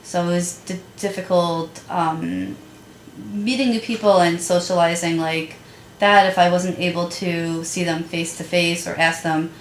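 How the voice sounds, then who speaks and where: A woman's voice: on the low side, steady tone, a little slow. A young woman who stutters a little, chatting as a guest about her school years. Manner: stutters with repeated sounds. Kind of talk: casual chat. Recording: echoey room with light noise.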